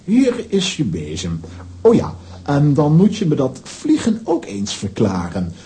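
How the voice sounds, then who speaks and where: A man's voice: on the high side, huge pitch swings, a little slow, mellow. A man playing a coaxing, pedantic professor, proud of his science, with theatrical intonation in a children's radio play.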